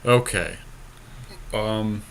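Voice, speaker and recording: A man's voice: fairly low pitch, extremely slow. A man co-hosting a podcast, casually commentating on a card game in informal language. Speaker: podcast co-host.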